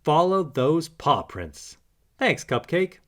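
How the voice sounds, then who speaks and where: A man's voice: on the high side, sing-song, slow, voice pushed up. A man voicing an eager, earnest young male puppy hero in a children's storybook, upbeat and encouraging. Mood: eager, determined.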